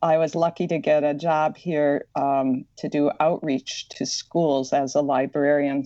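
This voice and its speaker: A woman's voice: low voice, mellow, dry recording, muffled recording. A woman with a slight Midwestern accent, an author and librarian, talking earnestly as a podcast guest and often repeating words as she thinks. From Madison, Wisconsin.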